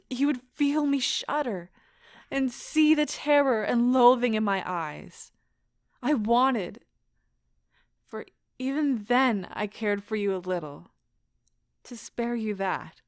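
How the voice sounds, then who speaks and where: An actress playing an anguished, remorseful but defiant wife in an audio drama, speaking in an impassioned, deliberate, theatrical way. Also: female voice, a little high, no echo, studio quality. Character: anguished wife confessing to her husband, remorseful, wounded, bitterly defiant.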